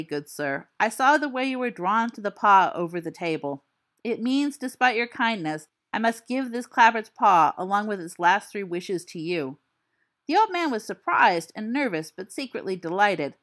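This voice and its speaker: A teenage wizard boy spinning a spooky fireside tale with theatrical flair and wry asides, read by a woman. His voice is bright and lively, swinging up and down as he builds the suspense. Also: medium pitch, natural voice. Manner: theatrical storytelling, dry asides.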